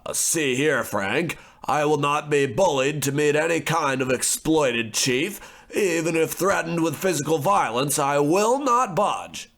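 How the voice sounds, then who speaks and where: A man acts out a haughty, educated gentleman for a comic radio play. His voice sits fairly high and swings widely in pitch, pompous and declamatory, smug and easily appalled. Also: animated, a little slow. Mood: defiant. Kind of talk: comic old-time radio play acting.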